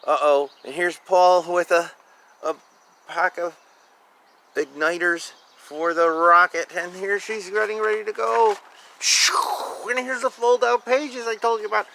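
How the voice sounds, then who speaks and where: A man reads a picture book aloud for children in a bright, fairly high voice. His delivery is expressive and informal, drawing out key words. He drops in casual comments on the pictures as he goes. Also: measured pace, gravelly, slight background noise. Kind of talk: animated storybook read-aloud with casual asides.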